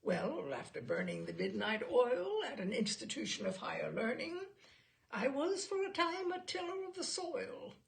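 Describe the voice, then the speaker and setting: A woman's voice: high voice, sing-song, normal speed, gravelly, exaggerated voice. A woman reader playing a smug, pompous man of about forty, a professional cliché expert testifying in a comic story. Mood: smug, obliging. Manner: pompous, pat answers.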